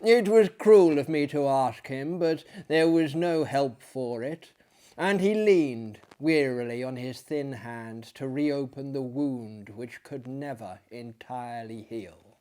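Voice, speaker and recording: A man voicing a grieving young narrator in a dramatic, measured, mournful storybook reading. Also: mid-range voice, warm tone, close to an everyday voice. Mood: numb sorrow.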